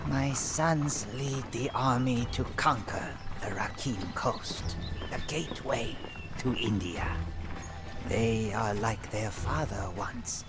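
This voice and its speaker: A proud, ambitious Burmese warrior king for a game cutscene, speaks at a measured pace over prominent music. His mid-pitched voice is grave and theatrical, declaiming his own tale with brooding, imperious weight. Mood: weary, reflective. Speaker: narrator and protagonist of game cutscenes. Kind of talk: dramatic scripted first-person narration.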